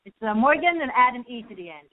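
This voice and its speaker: A woman's voice: fairly high pitch, very animated, fast. A young Israeli-born woman, an acting student, calling in to a radio show and talking earnestly and upbeat about her classes. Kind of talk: casual phone interview. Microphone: telephone. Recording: tinny phone line.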